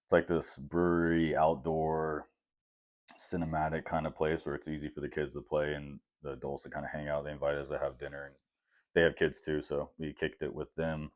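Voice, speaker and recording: A man's voice: level tone, brisk. A young man, chatting casually and rambling with lots of fillers as a podcast co-host. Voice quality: deep voice. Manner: laid-back, rambling with many fillers. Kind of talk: casual chat.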